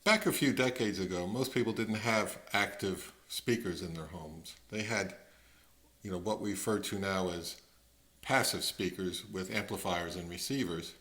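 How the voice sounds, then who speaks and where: A man's voice: deep voice, normal speed, a bit bright. A middle-aged man narrating a product review in a conversational, musing way, often posing rhetorical questions as the host.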